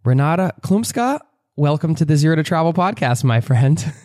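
A man's voice: on the high side, very animated, moderate pace, a little mellow, no echo, clean studio sound. A man hosts a podcast in an easy, conversational way. He asks friendly questions that wander a bit before landing, and he chuckles often. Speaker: podcast host. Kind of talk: casual interview talk.

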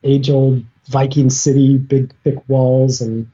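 An easygoing man with a warm, mellow voice and a slightly husky edge, talking as a guest. He comes through a dull, lo-fi remote call and speaks loosely with small hesitations. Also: mid-range voice, slow. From United States. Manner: relaxed, easygoing, some hesitations. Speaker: guest, sailor. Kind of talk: casual interview chat. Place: at home.

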